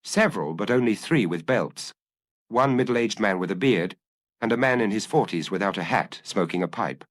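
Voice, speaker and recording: Man reading as an eager, animated press photographer. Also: fairly low pitch, normal speed, dry recording. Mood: keen, explanatory. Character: eager male press photographer explaining his findings.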